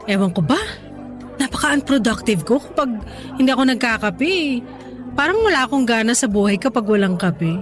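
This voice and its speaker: An actress plays a skeptical, playful young woman with a fairly high voice. She talks in a casual, teasing way, stubborn yet fond, as in a radio drama, with soft music behind her. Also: normal speed, lightly acted. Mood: matter-of-fact. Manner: teasing, casual, animated retorts. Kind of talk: conversational radio drama acting. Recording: studio dialogue over faint music.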